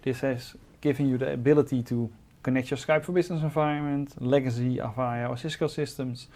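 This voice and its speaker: Creaky-voiced man explaining technical details. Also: mid-range voice, steady tone, brisk, mellow.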